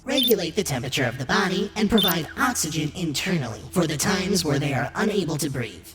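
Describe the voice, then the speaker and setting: Robot documentary host voiced by a woman, low-pitched, expressive, polished and polite, with heavy music underneath. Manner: formal, polished, informative. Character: polite robot host guiding tours of alien worlds. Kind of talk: scripted documentary-style narration. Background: prominent music.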